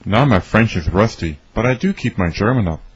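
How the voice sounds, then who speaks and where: Slightly husky man reading lines. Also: fairly low pitch, animated, fairly quick. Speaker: dialogue reader for English learners. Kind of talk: scripted conversation reading. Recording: dry, slightly dull studio recording.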